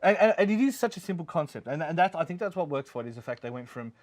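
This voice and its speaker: A man's voice: on the high side, animated, racing, a little mellow. A man hosting a video game review show in casual conversation, repeating words as he thinks aloud. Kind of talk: casual chat between co-hosts about video games.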